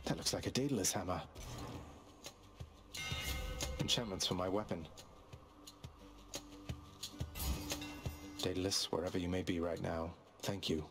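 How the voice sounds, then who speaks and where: An actor playing a wry, determined young underworld prince in a video game, dry and polite in manner. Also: male voice, sits low, fast talker, over music. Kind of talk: scripted video game voice acting.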